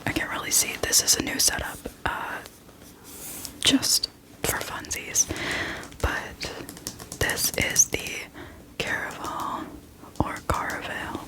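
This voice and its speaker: A woman hosting a casual whispered ASMR book chat, speaking in a soft, breathy whisper with a hesitant, self-deprecating manner. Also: slow pace, pauses often, rough recording. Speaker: book video host.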